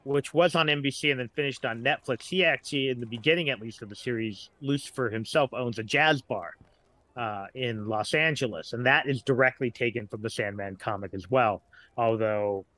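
A middle-aged man speaks as a guest in a casual podcast discussion. His voice is bright and sits at a medium pitch, recorded dry. He tends to ramble through details as he goes. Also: normal speed, no echo.

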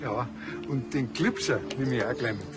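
A cheeky Bavarian policeman played by a man from a TV crime comedy. He speaks in a dry, offhand deadpan at a middle pitch. Music is clearly audible behind him. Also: normal speed, lightly acted.

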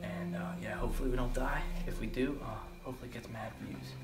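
A young man filming a casual vlog, joking and talking low as he explores a dark abandoned farmhouse basement on a handheld camera. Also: fast talker, vocal fry, over loud music. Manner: joking, hushed while exploring. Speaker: vlogger.

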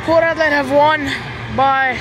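A teenage boy with a hoarse, worn-out voice, excitedly vlogging and cheering at a football game. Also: very high voice, normal speed, rough recording. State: hoarse, voice lost. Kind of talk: casual vlog commentary. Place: packed outdoor football stadium. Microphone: handheld camera. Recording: loud crowd noise and music. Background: crowd cheering.

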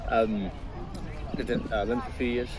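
A man's voice: medium pitch, flat delivery, fairly quick, lightly acted. A man playing an easygoing, teasing young office colleague in a naturalistic audio drama, chatty and jokey. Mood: casual, friendly. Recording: outdoor crowd chatter.

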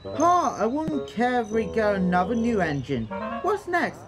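A woman's voice: fairly high pitch, very animated, unhurried, pitched below the performer's own voice. A woman doing a grumbling young engine. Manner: grumbling, sulky. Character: grumpy young male steam engine. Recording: music underneath.

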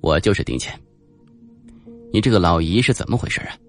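A man voicing a dry, wry male psychologist who questions calmly in an audiobook. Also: deep voice, a little fast, faint music behind.

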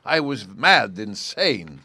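A man's voice: lowish voice, very animated, takes it slowly, sharp tone, slightly rough, no echo, heavily acted. A man voicing a proud, agitated king of about thirty in an audiobook story, with a formal, grandiose and emphatic manner. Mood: distraught. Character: proud agitated thirty-year-old nobleman, a masked Bohemian king.

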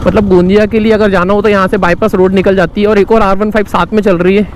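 A young man, talking casually and rambling on while he rides, his voice sitting fairly high. It is picked up by an action camera mic on a moving motorcycle, with clear wind and traffic noise around him. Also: little pitch movement, quick pace. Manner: chatty, rambling, friendly asides to viewers. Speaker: motovlogger. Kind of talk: casual riding commentary. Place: on a motorcycle riding outdoors on roads.

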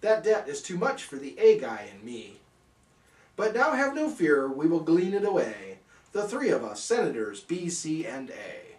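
An eager, scheming storybook senator, put on by a man, exclaiming in singsong rhyme. The pitch is raised and bouncy, and the sound is roomy and a bit off the mic. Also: big pitch swings, measured pace, darkish tone, distant mic. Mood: confident.

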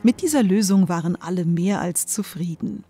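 Mellow woman storyteller. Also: fairly low pitch, normal speed, breathy edge, faint music behind. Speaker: narrator. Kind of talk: scripted storybook narration.

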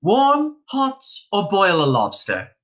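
A motherly old innkeeper's wife fusses over a guest in a high, lilting, folksy voice, as a male reader puts her on. Her talk is chatty and coaxing, with a bright, sharp edge to the tone. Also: huge pitch swings, slow pace, muffled recording, heavily acted. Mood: fussing.

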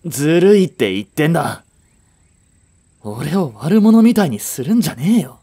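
A man performs a frustrated young boyfriend in a romantic voice drama. He has a soft-edged dark timbre with some huskiness, and his blunt, casual lines swing widely in pitch. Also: sing-song, moderate pace, breathy edge, heavily acted. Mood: angry. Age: young adult. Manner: blunt casual masculine speech. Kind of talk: romantic audio drama acting.

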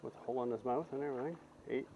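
A man's voice: medium pitch, very fast, vocal fry, dull audio. A man fishing from a lakeshore who chats to himself and talks to the fish he catches, recorded on a head-mounted action camera. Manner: muttering to the fish, chatty exclamations. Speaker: fishing vlogger. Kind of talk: casual self-talk while fishing. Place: outdoors on a windy lakeshore. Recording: loud wind noise on action camera.

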